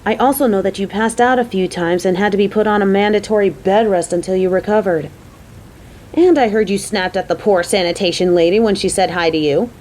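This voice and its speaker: Over a faint low rumble comes a woman acting as a caring coworker out on a date. Her voice is mellow and dark-edged, at a middling female pitch. She talks straight to the listener in a chatty, motherly and teasing way, as in an intimate audio roleplay. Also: a little fast, natural voice, rough recording. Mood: worried.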